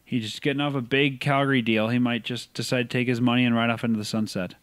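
A man co-hosting a podcast, giving opinionated, animated takes in casual sports chat. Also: mid-range voice, fast talker, no room sound. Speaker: podcast co-host.

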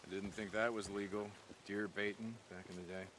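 An actor playing a gruff, terse, sardonic drifter biker with a gravelly voice in a video game cutscene. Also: male voice, lowish voice, flat delivery, a little fast, background noise, close to an everyday voice. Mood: dry, subdued. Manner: terse, sardonic, swears when angered. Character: gruff, hot-tempered drifter biker man in post-apocalyptic wilds.

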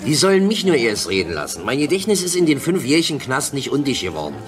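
A boisterous, bitter ex-convict in a radio play acted by a man. He blusters in brash everyday language and swings animatedly in pitch. Music plays clearly behind him. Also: very animated, quick pace, close to an everyday voice. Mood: bitter, boastful. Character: Gustav Herwig, boisterous bitter Berlin ex-convict.

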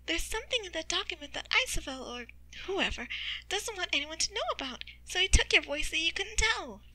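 An eager young male animal from a storybook, acted out by a woman narrator. The voice is high and very bright. He talks emphatically and insistently, as if piecing things together. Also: lively intonation, fairly quick, dry recording, full character voice, rough recording. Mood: excited deducing.

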